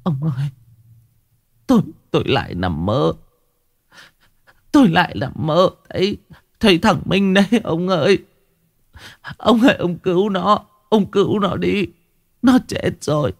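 A man's voice: sits high, big pitch swings, measured pace, gravelly, long pauses, no room sound, studio quality, pitched above the performer's own voice, exaggerated voice. A man voicing a distraught elderly mother and timid wife, pleading and stammering tearfully in a dramatic audio story. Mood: tearful, anguished.

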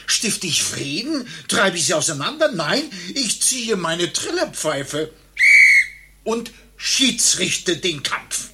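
An actor playing a touchy, grandiose former referee in a satirical radio play, declaiming theatrically. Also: male voice, high-pitched, big pitch swings, takes it slowly, sharp tone, slight background noise, exaggerated voice. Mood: confessional, dramatic.

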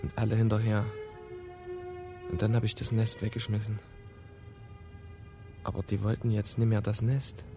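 A man's voice: on the low side, monotone, moderate pace, long pauses, over music, lightly acted. Man playing a scared, hesitant teenage boy. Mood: haunted remembering. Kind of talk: radio drama acting.

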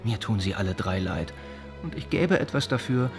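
An actor playing an earnest, gently reproving young gentleman in a radio drama, speaking in thoughtful, formal phrases. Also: on the low side, expressive, moderate pace, creaky, music in the background. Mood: resigned.

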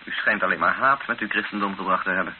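A man's voice: low-pitched, fast, lightly acted. An actor playing a bitter, skeptical man held hostage in a Dutch-language radio drama, formal and challenging. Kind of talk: radio drama acting and first-person narration. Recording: hissy, narrow-band old radio recording.

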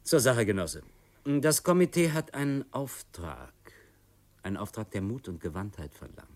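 An actor playing a stern, cold revolutionary committee boss in a German radio play, speaking in a deliberate, commanding way. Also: male voice, on the low side, sing-song. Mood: businesslike, commanding.

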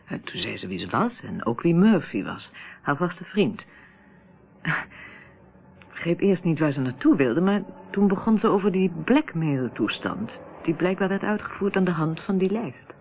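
A woman's voice: lowish voice, very animated, measured pace, a little hiss or hum. An actress playing a polite, evasive married woman in a radio play, hesitant and defensive in manner. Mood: apologetic. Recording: muffled, narrow-band old radio recording.

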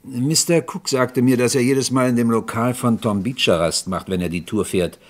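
An actor playing a courteous, methodical police inspector in a German radio crime drama, speaking in a measured, probing, formal way. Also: male voice, mid-range voice, lightly acted. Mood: probing, reserved.

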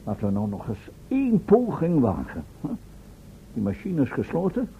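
A man's voice: wildly swooping pitch, normal speed. A man playing an impatient, worried professor and inventor in a Dutch radio play, hesitating and mildly scolding. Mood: weary, determined. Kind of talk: Dutch radio drama acting. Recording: thin phone-like old radio recording, noisy, rumble.

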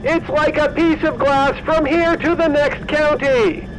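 A man's voice: extremely high pitch, steady tone, unhurried, poor audio. A man playing a folksy, boastful helicopter traffic reporter in a radio comedy, over vehicle noise. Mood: cheerfully earnest.

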